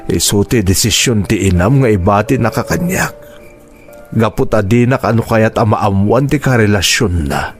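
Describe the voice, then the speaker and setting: A man's voice: lowish voice, lively intonation, normal speed, soft background music, close to an everyday voice. A man playing a middle-aged, remorseful father in an audio drama, patient, earnest and pleading. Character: remorseful, patient father confessing to his son. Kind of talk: Ilocano audio drama acting.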